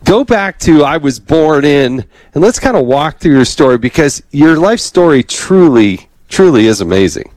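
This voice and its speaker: A man's voice: on the high side, very animated, normal speed, few pauses, darkish tone, no echo, poor audio. A male interview host, chatty and enthusiastic, with a wry humor. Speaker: podcast host.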